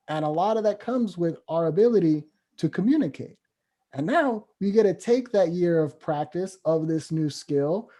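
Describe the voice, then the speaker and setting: A man who works as a professional home visitor, speaking in a steady, encouraging way and asking follow-up questions in a casual podcast chat. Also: a little high.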